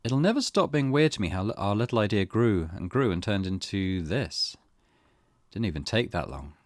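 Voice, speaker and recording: Low-voiced man with very lively intonation. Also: normal speed. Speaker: video game let's play host. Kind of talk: let's play reading aloud and commentary.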